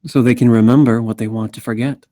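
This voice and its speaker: A man reading a grave, protective male character at a screenplay table read, measured and understated. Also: mid-range voice, fairly even intonation, dark tone, lightly acted. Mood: grave, reassuring. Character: grave, protective male Rabbit, steady and reassuring.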